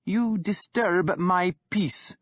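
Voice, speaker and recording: A man's voice: high voice, very slow, a bit bright, no echo, dull audio, pitched above the performer's own voice, exaggerated voice. A man voicing a young male king who speaks in a proud, insistent way. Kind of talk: audiobook character voice.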